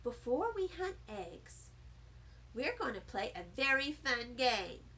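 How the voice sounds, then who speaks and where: A woman voicing a showy, excited little first-grade girl making emphatic announcements in a children's story. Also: high voice, slow, full character voice. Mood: showy, thrilled. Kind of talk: children's audiobook character voice.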